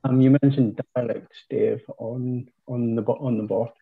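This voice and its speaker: Husky, creaky man on a tinny call. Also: mid-range voice, steady tone, measured pace. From Northumberland, England. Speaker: audience member asking questions. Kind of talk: casual questioning on a video meeting. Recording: tinny, phone-like call audio, breaking up.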